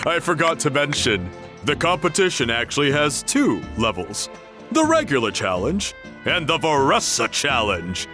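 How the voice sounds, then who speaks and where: An actor playing a cheerful, jovial young contest host in a video game, explaining things in a friendly way. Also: male voice, on the high side, sing-song, slow pace, pushed and bright, faint music behind, full character voice. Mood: amused explaining. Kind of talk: animated game dialogue acting.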